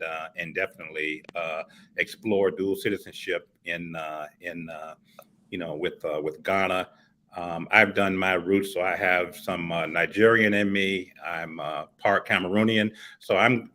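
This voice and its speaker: Deep, bright-voiced man, halting panel talk. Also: fairly even intonation. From United States, Miami. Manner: halting, many "uh" fillers and restarts. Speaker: morning show co-host. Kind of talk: casual panel discussion.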